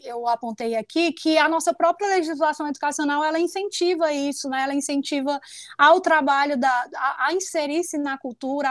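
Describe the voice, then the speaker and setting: A woman in her thirties with a northeastern Brazilian accent, a professor giving an informal academic talk in a halting way, rephrasing as she goes, on an earphone mic. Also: sits high, no room sound, studio quality. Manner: halting, repeats and rephrases, often seeks agreement. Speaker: professor, guest lecturer. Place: small indoor room with bookshelves. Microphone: wired earphone mic on a video call.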